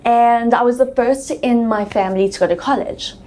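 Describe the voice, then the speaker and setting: A woman's voice: on the high side, moderate pace, darkish tone, slight background noise. A woman in her thirties with a South African accent, telling her story as an interview guest in a chatty way with frequent ums.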